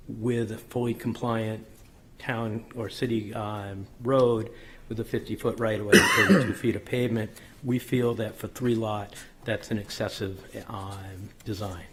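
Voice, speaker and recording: A man presenting a subdivision plan at a public board meeting, methodical and technical with frequent ums and self-corrections, his voice slightly hoarse. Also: fairly low pitch, slight background noise. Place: city council chamber.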